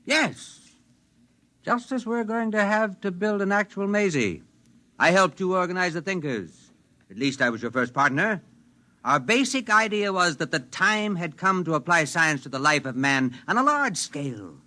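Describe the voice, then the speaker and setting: A man's voice: high voice, lively intonation, normal speed, sharp tone. A man acting an idealistic, self-important schemer in a 1950s radio drama, declaiming fervently and insistently.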